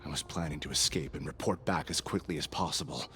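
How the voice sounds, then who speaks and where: A world-weary bodyguard from a video game, his voice sitting extremely deep. He talks bluntly and coldly, with deadpan gravity. Faint music plays underneath. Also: fast. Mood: matter-of-fact. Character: cold, cynical, world-weary male assassin bodyguard. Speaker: character voice actor. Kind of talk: video game story dialogue. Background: background music.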